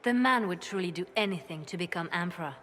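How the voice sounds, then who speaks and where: Fantasy game spymaster played by an actress: lower female voice, calm, grave, ruthless counsel over clear background music. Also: fast talker, natural voice. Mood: grave, insistent. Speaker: spymaster advisor. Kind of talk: fantasy game cutscene acting. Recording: music behind the voice.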